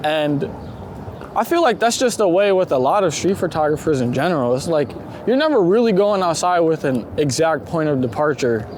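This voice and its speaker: A man's voice: on the high side, animated, normal speed. A man talking casually and informally, with some swearing, as a street photographer being interviewed outdoors while walking. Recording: loud outdoor city street noise. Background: traffic and urban street noise.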